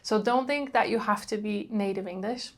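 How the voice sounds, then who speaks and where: A woman's voice: mid-range voice, normal speed. Chatty Dutch woman vlogging. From Netherlands. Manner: chatty, with frequent ums and likes. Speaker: travel vlogger. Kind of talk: casual talk to camera.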